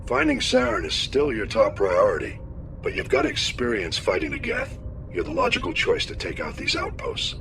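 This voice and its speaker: An actor playing a gruff older admiral in a video game, giving clipped, authoritative military briefings. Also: male voice, on the low side, huge pitch swings, normal speed, noisy background, heavily acted. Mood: stern, businesslike. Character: gruff, commanding older male navy admiral. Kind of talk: video game dialogue acting.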